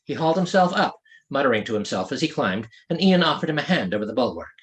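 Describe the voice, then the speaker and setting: A man narrating a story as an author reading his own novel, in an expressive storytelling delivery. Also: mid-range voice, fast talker. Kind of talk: scripted audiobook narration.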